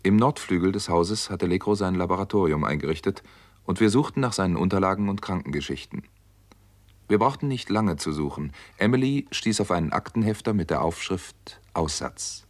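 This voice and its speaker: A man's voice: low voice, animated, fairly quick. An actor playing a doctor turned sleuth in a 1960s radio crime drama, telling his case in a terse, matter-of-fact way. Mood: grave, matter-of-fact.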